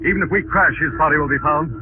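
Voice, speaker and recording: An actor playing an earnest gentleman crime-fighter in a 1940s radio drama. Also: a little high, fast, close to an everyday voice. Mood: urgent. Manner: urgent, earnest. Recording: noisy, tinny 1940s radio recording.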